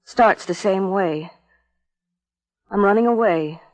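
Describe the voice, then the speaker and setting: A woman's voice: mid-range voice, takes it slowly, darkish tone, natural voice. A woman playing a troubled young wife haunted by nightmares, earnest and hesitant, in a 1950s radio suspense drama. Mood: anxious, earnest. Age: young adult. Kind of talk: 1950s radio suspense acting and first-person narration.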